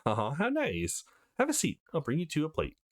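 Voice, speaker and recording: A man's voice: a little high, wildly swooping pitch, moderate pace, voice pushed up. A man voicing a sweet, welcoming mother in a visual novel, with a polite, cheerful manner. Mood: warm, motherly.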